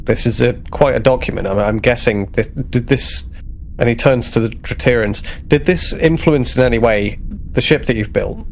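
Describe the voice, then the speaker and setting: A man's voice: medium pitch, moderate pace, vocal fry. A man chatting casually as a player in a tabletop game, slipping in and out of character. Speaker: tabletop role-playing player. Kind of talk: casual in-game chat and role-play. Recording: faint background noise.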